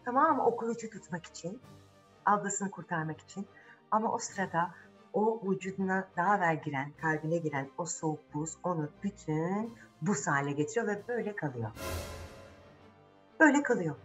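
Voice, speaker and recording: Animated woman telling children a fairy tale. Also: lowish voice, moderate pace, soft background music. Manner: dramatic, rhetorical questions, repeats phrases for suspense. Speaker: children's storyteller, channel host.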